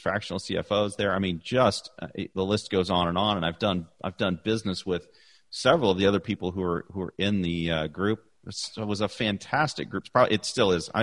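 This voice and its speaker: Middle-aged male host, very low voice, warm chatty praise, repeats himself. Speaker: podcast host. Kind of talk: casual interview chat.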